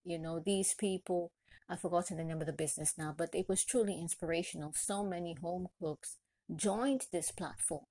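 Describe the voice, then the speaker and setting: A woman from Trinidad and Tobago hosts a solo podcast, sharing personal experience and encouragement with deliberate, repeated phrasing. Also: lowish voice, warm tone, dry recording. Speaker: podcast host, chef and caterer. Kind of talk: solo podcast talk, motivational personal story.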